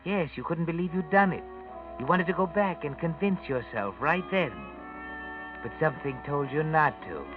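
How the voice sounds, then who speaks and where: An actor playing an ominous, all-knowing male narrator who taunts a murderer with deliberate insinuation, in 1940s radio drama style. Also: a little high, lively intonation, lightly acted. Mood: knowing, taunting. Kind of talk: 1940s radio acting, second-person suspense narration. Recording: tinny vintage radio recording, music behind. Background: dramatic music.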